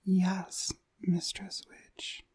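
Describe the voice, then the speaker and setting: A woman's voice: lowish voice, monotone, a little mellow, no echo, full character voice. Woman acting a whispering, commanding witch mistress. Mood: soothing, commanding. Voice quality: breathy whisper. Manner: slow, soothing, commanding. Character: dominant, seductive witch mistress commanding her servant. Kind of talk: whispered ASMR hypnosis roleplay.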